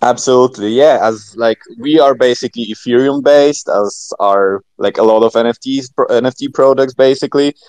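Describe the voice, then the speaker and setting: A man talking as a podcast guest in casual, informal chat, rambling and halting with many filler words. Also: medium pitch, darkish tone, clean tone, no room sound. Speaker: podcast guest, project founder.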